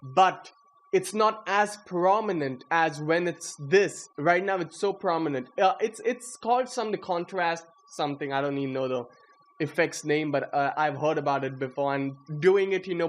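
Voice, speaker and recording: A young man giving a casual, slangy walkthrough of his code, excitable and self-deprecating. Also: fairly high pitch, moderate pace. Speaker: coder presenting own project. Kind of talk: casual screen-recorded coding walkthrough.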